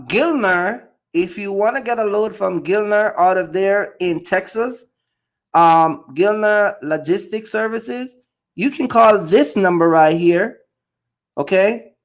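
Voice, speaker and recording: A man's voice: high voice, fairly bright tone. A man from Jamaica, a box truck driver chatting loosely on a livestream with many fillers and repeated words. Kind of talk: casual livestream chat.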